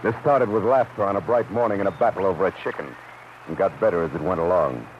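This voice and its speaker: An American actor playing a tough, wry, world-weary private detective in a classic radio noir drama, clipped and brooding. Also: male voice, low voice, fast, slight background noise, natural voice. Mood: somber, wistful. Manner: hard-boiled, clipped, brooding. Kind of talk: classic radio noir first-person narration and dialogue. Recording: muffled vintage radio recording, loud music behind.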